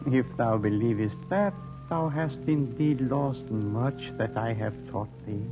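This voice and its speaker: A solemn, ancient mystic teacher acted by a man in an old radio serial. He speaks very slowly and ceremoniously in a fairly high male voice, over a thin, phone-like recording with music behind. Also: lightly acted. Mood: solemn, chiding. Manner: archaic, ceremonious, measured speech. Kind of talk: 1930s-40s radio drama acting.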